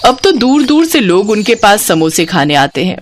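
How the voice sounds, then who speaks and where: A woman's voice: mid-range voice, big pitch swings, moderate pace, a little hiss or hum. A woman narrating a scripted moral story as a storyteller.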